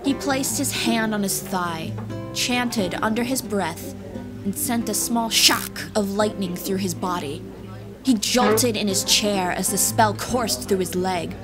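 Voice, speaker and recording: A woman's voice: mid-range voice, takes it slowly. Woman narrating fantasy over music. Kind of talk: scripted fantasy audiobook narration. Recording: music playing behind.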